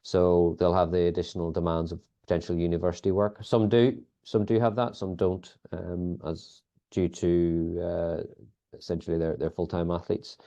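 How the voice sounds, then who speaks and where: A man's voice: low-pitched, flat delivery, moderate pace, warm tone. A Northern Irish man answering interview questions thoughtfully, with frequent fillers and restarts. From Northern Ireland. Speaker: sports biomechanics lead, guest. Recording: dull lo-fi audio.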